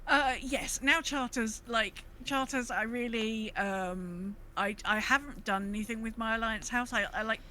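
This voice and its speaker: A woman's voice: on the high side, a little slow, hardly any pauses, sharp tone, a little hiss or hum. A woman chatting casually and enthusiastically, as a co-host on a gaming podcast.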